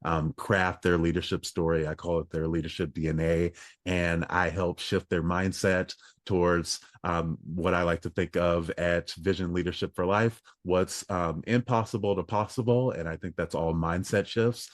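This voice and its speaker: A man, a leadership coach, speaking in an earnest, measured way with frequent ums as a podcast guest. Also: low-pitched, little pitch movement, no echo, clean studio sound. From United States. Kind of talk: casual interview talk.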